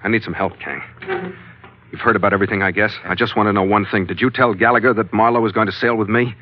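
An actor playing a tough, wry, loyal ship's skipper in a hard-boiled classic radio drama. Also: male voice, on the low side, steady tone, fast talker, slight background noise, close to an everyday voice. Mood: troubled, probing. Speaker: lead actor and first-person narrator. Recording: dull vintage radio recording with music.

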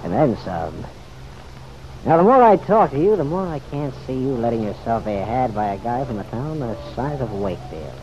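A man playing a persistent, easygoing American police detective who needles in unhurried slang, in an old-time radio drama. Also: mid-range voice, big pitch swings, a little mellow. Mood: wry, probing. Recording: muffled, noisy vintage radio recording.

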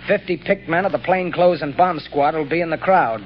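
An actor playing a dutiful police aide who reports in a crisp, deferential way, in 1930s radio drama style. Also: male voice, on the high side, fairly quick, highs cut off, faint music behind, natural voice. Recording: hissy 1930s radio broadcast.

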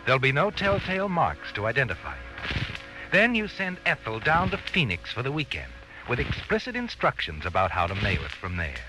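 An ominous, knowing narrator in a 1940s radio thriller. He taunts in the second person with extremely swooping intonation. Dramatic music plays clearly behind the old recording. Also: mid-range voice, huge pitch swings, moderate pace, poor audio. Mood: sardonic, ominous. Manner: knowing, sardonic, suspenseful.

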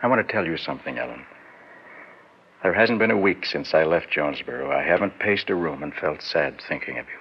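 A man's voice: a bass voice, animated, a little fast. An actor playing an ardent, self-centered man wooing an old flame, insistent and earnest, in classic radio drama style. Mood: earnest, regretful. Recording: muffled, lightly noisy old radio recording.

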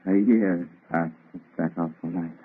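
An actor playing an arrogant, obsessed scientist in an old radio melodrama, declaiming theatrically in a husky voice. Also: male voice, deep voice, steady tone, a little slow. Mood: subdued, grave. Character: arrogant, feverishly obsessed scientist creator of a monster. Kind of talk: 1930s radio melodrama acting. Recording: tinny, band-limited old radio recording.

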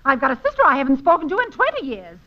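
An actress playing a haughty, sharp-tongued wife in a 1940s radio comedy. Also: female voice, high voice, big pitch swings, rapid-fire. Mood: haughty, cutting. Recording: dull vintage 1940s radio broadcast.

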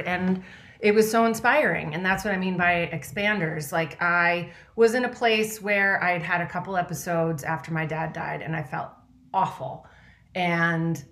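A woman's voice: lowish voice, a bit bright. A chatty woman, telling stories as a podcast co-host. Her voice sounds roomy, with echo around it. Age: 40s. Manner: chatty, storytelling. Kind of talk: casual chat. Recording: echoey room.

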